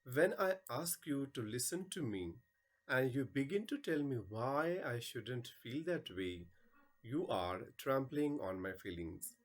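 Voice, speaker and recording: A male English teacher presenting a lesson and reciting poems aloud in a deliberate, expressive way. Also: medium pitch. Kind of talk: instructional narration and poem recitation.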